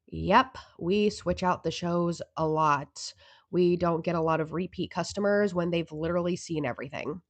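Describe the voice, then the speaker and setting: A woman acts out a laid-back young receptionist for an audio drama. Her pitch sits on the low side, and she talks casually and noncommittally, as if little matters to her. The sound is dry. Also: moderate pace, dry recording. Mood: offhand.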